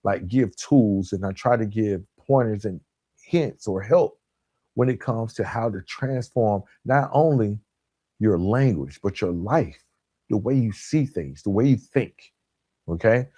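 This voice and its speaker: A man hosting a motivational self-help podcast, speaking in an emphatic, instructional way with deliberate pauses and stressed key words. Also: lowish voice, expressive, warm tone, dry recording. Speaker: podcast host.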